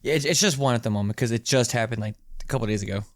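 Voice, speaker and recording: A man's voice: medium pitch, racing, dry recording, clean studio sound. A man co-hosting a podcast, chatting casually about video games. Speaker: podcast co-host.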